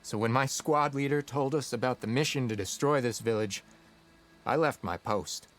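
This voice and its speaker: An actor playing an earnest, remorseful young soldier who deserted the rebels, in a video game cutscene. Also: male voice, medium pitch, moderate pace, a bit bright, soft background music, lightly acted.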